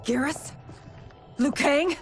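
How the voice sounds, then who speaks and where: A woman playing a regal, solemn warrior queen in a video game, speaking in an earnest, breathy, measured way. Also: high voice, soft background music. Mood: bewildered. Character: regal warrior queen, female keeper of time, solemn and wistful. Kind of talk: cinematic video game acting.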